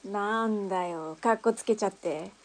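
A woman playing a tough, brash gang boss in a Japanese audio drama, with rough, cocky, masculine wording. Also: mid-range voice, normal speed, lightly acted. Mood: cocky, businesslike.

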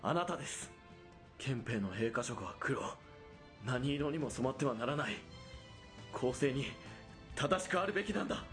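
A man's voice: mid-range voice, lively intonation, moderate pace, long pauses, a bit bright, faint music behind. A man playing a principled, dutiful military police sergeant in a period radio drama, speaking formally, with earnest restraint. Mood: righteous indignation.

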